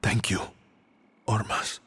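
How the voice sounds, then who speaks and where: A man playing a grave, stoic, guilt-ridden warrior in a fantasy video game, speaking in a measured, halting way. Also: fairly bright tone. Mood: solemn, resolute. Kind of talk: video game dramatic dialogue.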